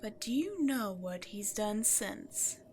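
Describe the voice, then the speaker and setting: A woman's voice: mid-range voice, sing-song, slow pace, darkish tone, a little hiss or hum. A woman voicing an imperious, seductive demon queen in a scripted audio roleplay, theatrical and haughty, addressing the listener directly. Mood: smug, cold. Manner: theatrical, breathy asides, haughty. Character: imperious demon queen, seductive, gloating, easily exasperated villain.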